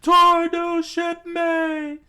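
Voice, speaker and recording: A man voicing an excitable cartoon pirate crew member, shouting toasts and announcements in a shrill, over-the-top voice. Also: takes it slowly, exaggerated voice. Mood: celebratory.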